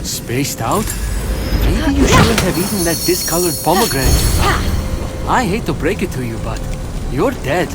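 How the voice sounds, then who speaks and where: An actor voicing a cheeky, glib trickster messenger god in a video game cutscene, full of joking. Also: male voice, fairly high pitch, sing-song, moderate pace, long pauses, over loud music. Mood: mock-grave, joking.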